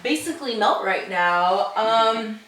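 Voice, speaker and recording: Young woman, casual host, encouraging, laughs a lot, medium pitch, very echoey room, mic set back. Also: expressive, slow, recorded from a distance. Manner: warm, encouraging, laughs often, fillers like um. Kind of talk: casual interview chat.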